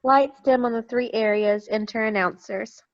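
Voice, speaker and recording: A woman's voice: fairly high pitch, steady tone, moderate pace, no echo. A woman giving scripted narration as the reader of stage directions in a play reading over a video call. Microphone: computer mic on a video call. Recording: dull, dry video call recording.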